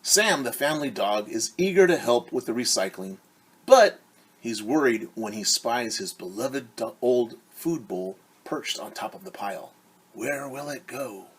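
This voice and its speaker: A middle-aged man with a husky, mid-low voice leads a children's story time. He takes his time, reading aloud and then explaining in simple words, repeating key words for young listeners. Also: animated, a little slow. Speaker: teacher reading a picture book aloud. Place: small room at home, indoors.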